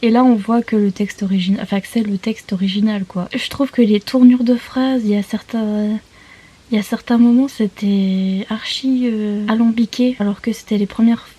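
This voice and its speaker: A woman's voice: medium pitch, steady tone, normal speed, dark tone, husky. A woman chatting casually as a book vlogger, rambling off on tangents and poking fun at herself. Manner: self-mocking, jokey, digressive. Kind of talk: casual rambling vlog chat.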